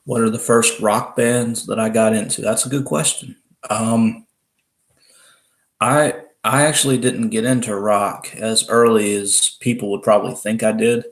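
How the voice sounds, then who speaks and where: A deep-voiced Southern man in his twenties from Alabama, talking loosely and chattily to viewers on a live stream. His low voice has a gravelly edge and stays fairly flat, with a rambling, easygoing flow. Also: unhurried. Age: 20s. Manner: rambling, chatty, laughs often, restarts. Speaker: livestream host. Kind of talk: casual live chat with viewers.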